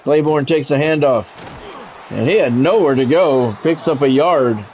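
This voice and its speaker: Higher-voiced man calling football play-by-play. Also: a little slow. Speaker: video game streamer and play-by-play commentator. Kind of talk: live sports play-by-play commentary over a football video game. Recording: dull, lo-fi mic with faint background noise.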